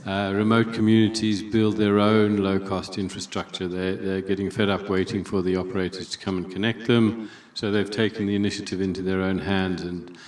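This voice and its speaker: Low, flat-voiced man in an echoey hall. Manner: measured, steady, with frequent pauses and fillers. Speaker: conference panel speaker. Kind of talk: conference remarks. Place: large conference hall. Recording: echoey room, distant mic.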